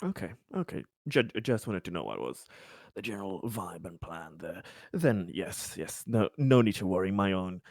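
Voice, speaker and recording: A man plays a worried colonist in a tabletop roleplay. His voice sits fairly low, with a dry, close sound. He is hesitant and polite, stumbling over words as he tries to keep the peace. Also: sing-song, moderate pace, few pauses, a little mellow, no room sound, heavily acted. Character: worried colonist uneasy about armed soldiers, eager to smooth things over. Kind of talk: in-character improvised tabletop roleplay.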